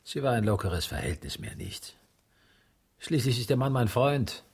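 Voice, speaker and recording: An actor playing a weary, anxious, arrogant man drawn in as a reluctant accomplice, in naturalistic radio drama dialogue with a husky, rough voice. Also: mid-range voice, lively intonation, moderate pace, darkish tone, heavily acted. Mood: defensive, strained.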